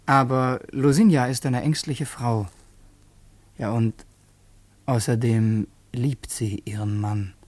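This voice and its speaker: An actor playing a revolutionary assassin who poses as a secretary, speaking in a formal, measured, reflective way in a radio play. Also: male voice, medium pitch, fairly even intonation, a little mellow, no echo. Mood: measured, sardonic. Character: male revolutionary assassin posing as devoted secretary, brooding diarist. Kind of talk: German radio play acting with confessional narration.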